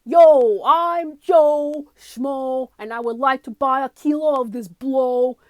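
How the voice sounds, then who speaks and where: A woman's voice: high voice, takes it slowly, darkish tone, dry recording, voice pushed up. A woman voicing a brash, blunt drug-buying guy with a drawl, as a comedy sketch character. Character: loud brash guy Joe Schmo buying drugs.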